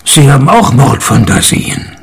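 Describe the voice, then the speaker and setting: An actor playing a wry private detective in a comic radio play, with a dry, sarcastic, creaky delivery. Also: male voice, mid-range voice, sing-song, unhurried, exaggerated voice. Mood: probing, dry.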